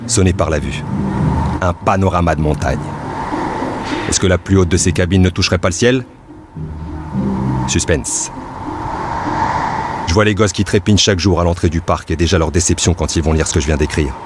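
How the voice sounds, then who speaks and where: Actor as a low-voiced, reflective fairground worker. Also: male voice, moderate pace, long pauses, very noisy, lightly acted. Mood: reflective, anticipating. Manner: reflective, like writing in a journal. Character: amusement park worker in Soviet Pripyat, devoted, reflective dreamer. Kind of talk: scripted audio-drama monologue.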